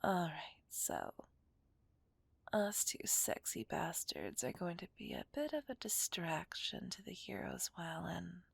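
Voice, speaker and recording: Woman acting as seductive female villain, slow breathy whisper, teasing, dominant, possessive, ASMR roleplay, close dry mic. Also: lowish voice, fairly bright tone, dry recording, exaggerated voice. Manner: teasing, dominant, drawn-out delivery. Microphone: close microphone, dry sound.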